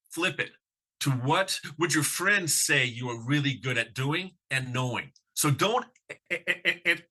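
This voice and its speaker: A man's voice: a little high, bright, forceful tone. A man who stutters, giving a motivational conference talk with emphatic rhetorical questions. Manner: stutters with sound repetitions. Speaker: conference speaker.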